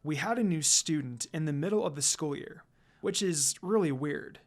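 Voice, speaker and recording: Cool, sullen schoolboy, read by a woman, quiet and a little low, aloof, in a fan story. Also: moderate pace. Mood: subdued, puzzled. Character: sullen, cool schoolboy, a man of few words. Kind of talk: amateur fan fiction reading.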